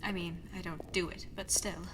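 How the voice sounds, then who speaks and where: A woman's voice: lowish voice, steady tone, normal speed, fairly bright tone, slight background noise, close to an everyday voice. A woman playing an outspoken, wry young princess in an audio drama, talking in blunt, casual modern phrases. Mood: wry, soft.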